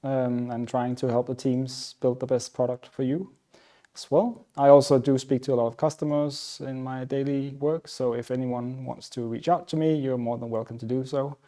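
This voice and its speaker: A man's voice: mid-range voice, steady tone, moderate pace, warm tone. A man from Denmark with a Danish accent, speaking informally as a product director on a webinar panel. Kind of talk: informal self-introduction on a webinar.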